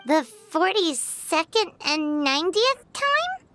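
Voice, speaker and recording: A woman voicing a perky, bossy, chatty little childlike fairy companion in a video game, with a squeaky voice. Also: sing-song, slow, a bit bright, faint music behind, full character voice. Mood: helpful, boastful.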